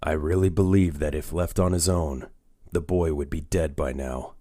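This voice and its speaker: An actor playing a brooding, guarded older masked crime-fighter in a comic dub, with a grave, measured delivery. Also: male voice, rumbling low, fairly even intonation, dark tone, no room sound, clean studio sound. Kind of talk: comic dub dramatic acting.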